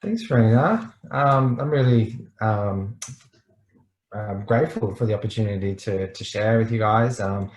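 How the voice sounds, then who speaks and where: A man's voice: on the low side, expressive, a little slow. A man with an Australian accent giving an informal online presentation as a webinar guest, speaking casually with a slight tendency to mumble. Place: indoor room, online video call. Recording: roomy, echoey sound.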